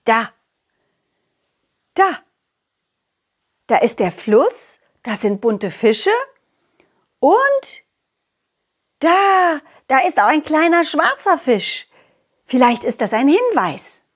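A woman's voice: high voice, sing-song, very slow, pauses often, heavily acted. A woman voicing a confident, loyal, cheerful dog who talks affectionately and encouragingly to children in an audio story. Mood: excited, friendly. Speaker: puppeteer hosting a children's audio story podcast.

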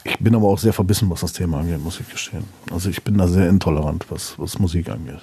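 Low, husky, gravelly German man. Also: very fast, dark tone. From Münsterland, Westphalia, Germany. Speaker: podcast guest. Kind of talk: casual, reflective interview chat.

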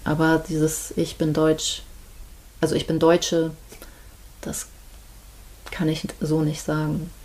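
A woman speaks with a fairly low voice in a casual conversation. She talks thoughtfully, often pausing and hesitating before she finds her words. She comes across as an easygoing interview guest. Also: completely flat delivery, darkish tone. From Germany, Hamburg. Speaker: midwife, podcast guest.

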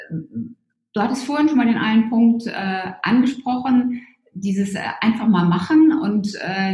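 A podcast host asking a guest questions calmly, with some hesitations. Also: mid-range voice. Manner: calm, affirming, asks with hesitations. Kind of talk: conversational interview. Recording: echoey room, slightly dull sound.

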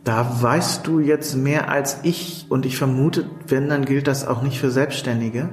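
A man answers as a guest in a conversational interview, reflective and somewhat halting. His pitch is medium. The recording is noticeably roomy, with faint music. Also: fairly even intonation. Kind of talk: casual interview chat.

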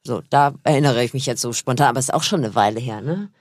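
A woman's voice: very deep voice, fast talker, no room sound, clean studio sound. A woman in a casual chat, talking in a hesitant, self-deprecating way. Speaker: guest.

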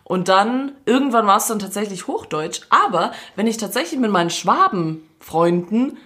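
Woman from Swabia, casual podcast co-host, playful, quick to mimic. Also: mid-range voice. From Swabia, Germany. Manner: lively, joking, mimics voices. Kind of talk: casual chat.

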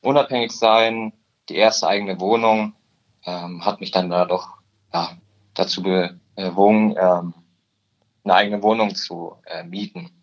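Male, 19, from Upper Bavaria, halting podcast guest, slightly lo-fi recording. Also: low-pitched, fairly even intonation. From Upper Bavaria, Germany. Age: teens. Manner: hesitant, many "ähm" fillers. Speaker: guest, insurance trainee. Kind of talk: podcast interview.

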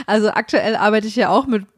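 A woman co-hosting a casual podcast chat, agreeable and quick to laugh, often chiming in with short agreements. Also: medium pitch, breathy edge, no room sound, clean studio sound. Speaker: podcast co-host.

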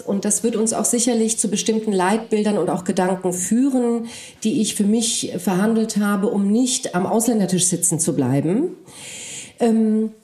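A woman's voice: medium pitch, warm tone, echoey room. A woman, a native Polish speaker who grew up in Germany, giving a thoughtful, searching interview answer with frequent hesitations. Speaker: interview guest. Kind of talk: reflective conversation.

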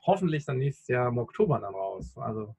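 A man's voice: medium pitch, very animated, brisk, creaky, dark tone, husky, no echo. A man in his thirties from the Rhineland, a coach and podcaster, talking reflectively in an interview with many fillers and restarts. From Rhineland, Germany. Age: 30s. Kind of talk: casual interview talk. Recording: dull, lo-fi sound.

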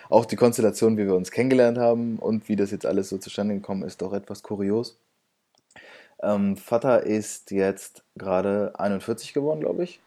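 Low-voiced relaxed male podcast host. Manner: relaxed, laughs often, loose restarts. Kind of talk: casual interview chat.

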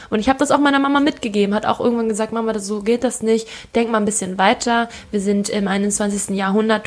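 Chatty woman from Thailand. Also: a little high. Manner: frank, chatty storytelling with fillers. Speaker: guest. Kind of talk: casual conversation. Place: home kitchen.

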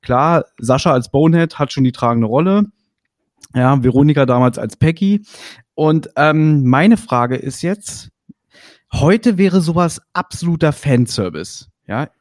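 A man's voice: fairly high pitch, lively intonation, measured pace, no echo, clean studio sound. A man hosting a podcast, asking long, winding questions like an eager fan. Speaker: podcast host. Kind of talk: casual interview questions.

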